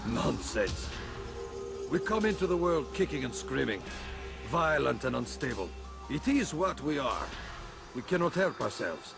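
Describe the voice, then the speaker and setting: A man's voice: mid-range voice, sing-song, unhurried, creaky, over music. An actor playing a pious, worldly-wise medieval crusader king in a video game, stately and declamatory. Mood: world-weary.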